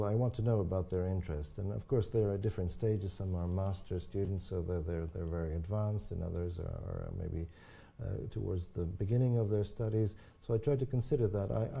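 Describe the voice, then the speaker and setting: A deep, gravelly older man whose first language is Croatian speaks in a dark, mellow tone. He talks thoughtfully in a live interview, restarting phrases and filling pauses with soft yeahs. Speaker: horn player and teacher.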